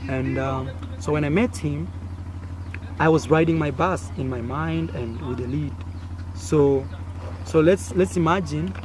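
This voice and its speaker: A young man with a Kenyan accent, whose first language is Swahili, tells a story outdoors in an animated, chatty way. His voice sits a little high, and he acts out sounds as he goes. Faint village noise runs behind him. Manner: animated, acts out sounds and gestures with frequent fillers. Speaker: content creator. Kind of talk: casual storytelling to camera.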